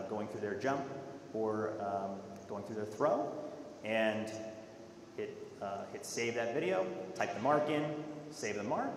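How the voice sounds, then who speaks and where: A man giving an explanatory, halting product presentation at a conference in a large auditorium. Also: mid-range voice. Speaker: software presenter. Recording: echoey hall with faint noise.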